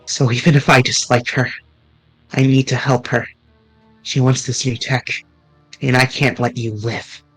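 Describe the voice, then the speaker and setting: A man's voice: mid-range voice, level tone, measured pace, pauses often, dry recording, faint music behind, rough recording. A man voicing a cold, two-faced manager villain with a sneering, contemptuous manner, reading a visual novel aloud.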